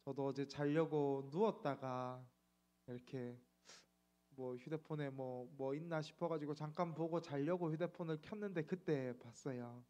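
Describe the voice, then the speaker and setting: Man, thirties, pastor, midweek sermon, earnest, reflective, slightly high voice. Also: a little slow, mellow. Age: 30s. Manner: earnest, reflective, with frequent fillers.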